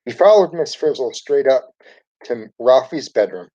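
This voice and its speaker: A man reads a children's storybook aloud with a very animated delivery. His pitch sits in the middle and moves widely through the narration. The recording sounds dull and lo-fi. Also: a little slow. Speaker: storybook reader.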